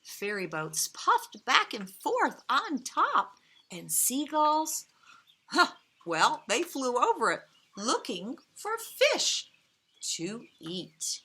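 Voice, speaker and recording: A woman reading a picture book aloud to young children, outdoors, with dramatic pauses, emphasized key words and gentle asides to her listeners. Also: a little high, sing-song, husky. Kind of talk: expressive children's read-aloud.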